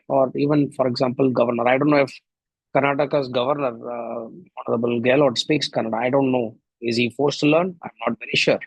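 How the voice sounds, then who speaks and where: A man's voice: medium pitch, creaky, slightly rough, no echo, poor audio. A middle-aged man from India with an Indian accent and Tamil as his first language, giving a reflective solo podcast monologue full of rhetorical questions. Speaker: podcast host.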